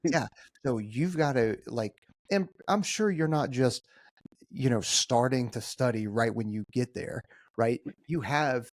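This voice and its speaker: A man's voice: medium pitch, unhurried. American guy hosting a podcast, mid-range voice, chatty and informal, no room echo. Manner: informal, conversational. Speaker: podcast host. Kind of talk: casual podcast conversation.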